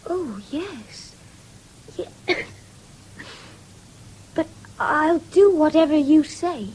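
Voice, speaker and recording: A woman's voice: sits high, lively intonation, drawn-out words, a little mellow, exaggerated voice, low-quality recording. An actress in a 1970s radio drama playing a meek, dutiful young country woman, hesitant and deferential. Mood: shy, hesitant.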